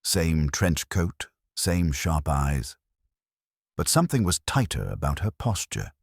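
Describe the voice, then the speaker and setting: A man narrates a hardboiled mystery in an extremely deep voice with lively intonation. His delivery is wry and clipped, and the recording is dry. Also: slow pace, vocal fry, husky, dry recording. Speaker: audiobook narrator in the part of a wry detective's assistant. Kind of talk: scripted first-person hardboiled mystery narration.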